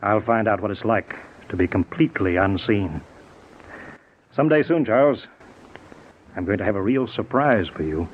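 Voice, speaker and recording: A man's voice: fairly low pitch, normal speed, long pauses, lightly acted. An actor playing an arrogant, resentful inventor drunk on his own power in a 1940s radio drama, insistent and gloating. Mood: proud, scheming. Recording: tinny, noisy 1940s radio recording.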